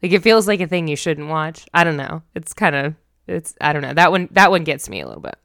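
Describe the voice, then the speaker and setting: A woman's voice: sits low, animated, quick pace, gravelly, fairly bright tone, no room sound, clean studio sound. A woman co-hosting a podcast in casual, informal chat with some swearing. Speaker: podcast co-host.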